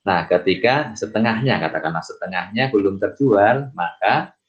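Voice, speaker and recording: Male lecturer, low register, halting explanatory delivery with repeated words, dull lo-fi sound. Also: animated. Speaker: accounting lecturer. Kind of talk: explanatory lecture with worked examples. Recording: dull lo-fi voice recording.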